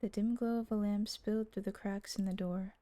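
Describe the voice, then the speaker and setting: Mellow-voiced woman streaming a story game. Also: mid-range voice, flat delivery, fairly quick, no room sound. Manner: playful, exclaiming asides. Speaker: streamer voicing a visual novel. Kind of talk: livestream voice-through with casual reactions and dramatic reading.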